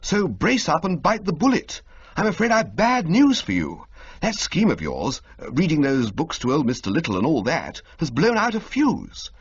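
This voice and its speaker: A man voicing a chatty, flustered young gentleman who talks in a breezy, fumbling way. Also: fairly high pitch, huge pitch swings, normal speed, husky, pitched above the performer's own voice, exaggerated voice. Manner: breezy, fumbling, full of uhs. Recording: slightly muffled lo-fi audio.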